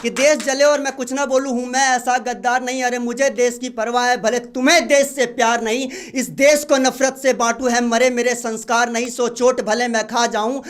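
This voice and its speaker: A man's voice: very high-pitched, flat delivery, moderate pace, hardly any pauses. A man reciting patriotic poetry at an open mic, declaiming and repeating lines for emphasis. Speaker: poet.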